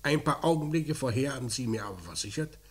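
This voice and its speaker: A man's voice: mid-range voice, animated, fast talker, close to an everyday voice. A man playing a busy, authoritative police inspector in a 1950s German radio crime drama, questioning patiently and giving clipped orders. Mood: dry, patient. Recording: 1950s mono radio recording.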